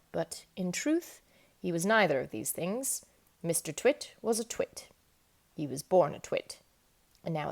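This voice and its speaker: A woman reading a children's book aloud in an expressive, emphatic storytelling manner. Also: fairly low pitch, creaky. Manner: animated, emphatic storytelling with dramatic stresses. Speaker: storybook reader.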